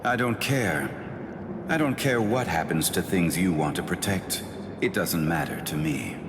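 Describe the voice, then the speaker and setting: An actor playing a cold, stern, haughty sword spirit in an anime game, with an imperious, unyielding manner. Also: male voice, sits low, lively intonation, measured pace. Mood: cold refusal. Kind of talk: English anime game voice acting. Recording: cavernous reverb with noisy ambience.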